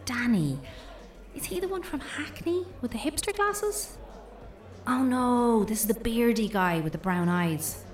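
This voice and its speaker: A high, very animated sardonic young woman's inner voice, mouthy and exasperated. She plays it for audio drama comedy, mischievous and sweary, in a roomy sound set slightly back from the microphone. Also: measured pace, recorded from a distance. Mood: jaded, restless.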